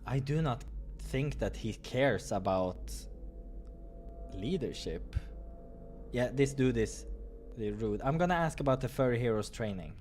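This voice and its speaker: Game playthrough narrator, mid-pitched, unhurried, reading story text with offhand asides over noise. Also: animated, a little mellow. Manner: acts out dialogue between asides. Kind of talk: visual novel read-aloud with casual commentary. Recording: clear background noise.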